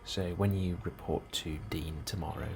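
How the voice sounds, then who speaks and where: A man with a very low, creaky voice speaks softly in an ASMR role-play as a colony clerk. His delivery is hushed and unhurried, at times slipping toward a whisper. Steady ambient noise is clearly audible behind him. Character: calm, helpful male colony immigration officer.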